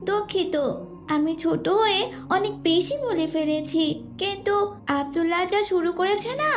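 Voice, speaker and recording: Woman playing a very high-pitched pious little girl. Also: normal speed. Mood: earnest, complaining. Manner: earnest, polite, preachy. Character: pious, earnest young daughter tattling on brother. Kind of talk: dubbed Islamic moral cartoon dialogue. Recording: dull, lo-fi sound.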